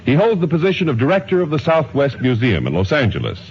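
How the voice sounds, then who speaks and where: A man's voice: on the high side, huge pitch swings, quick pace, breathy edge. An American man working as a 1950s radio announcer, formally reading a scripted introduction with showmanship. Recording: muffled 1950s radio broadcast, faint noise.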